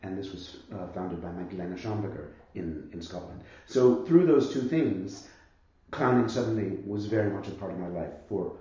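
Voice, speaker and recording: A husky man in his fifties with a cold speaks in a low, mellow voice, weighing each thought and circling back as he goes. The sound is muffled and heavily echoey, like a stream from a bare room. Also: expressive, normal speed. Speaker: guest, actor and theatre director. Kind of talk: reflective conversation about his career.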